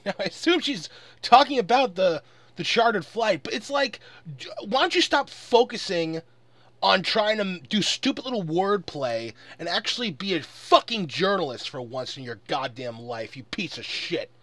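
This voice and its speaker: Animated, high-voiced man ranting. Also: moderate pace, dry recording. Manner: profane, exasperated, emphatic. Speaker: online commentator recording an audio log. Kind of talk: angry off-the-cuff rant.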